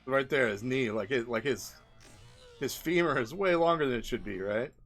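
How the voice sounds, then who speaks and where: Male indie game developer hosting a video: medium pitch, informal rambling delivery, off-the-cuff commentary. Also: expressive, moderate pace, a bit bright, faint music behind. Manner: rambling, colloquial, frequent "like" and "right?" tags. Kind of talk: casual off-the-cuff commentary over video clips.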